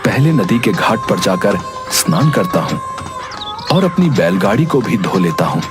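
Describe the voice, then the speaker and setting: A man playing an honest, humble Brahmin bullock-cart driver in a moral-story cartoon, speaking earnestly and deliberately. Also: lowish voice, big pitch swings, music in the background. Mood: earnest, reflective. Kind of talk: acted Hindi moral-story cartoon dialogue.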